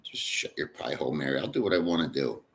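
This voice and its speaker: A man's voice: extremely low pitch, quick pace, fairly bright tone. A man hosting a casual livestream, reading chat aloud and rambling in a crude, profane way.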